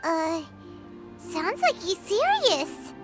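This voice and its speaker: A woman voicing a tiny, childlike sprite companion in a video game, squeaky, eager and chirpy. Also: big pitch swings, very slow, music in the background, exaggerated voice. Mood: startled. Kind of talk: cartoonish game voice acting.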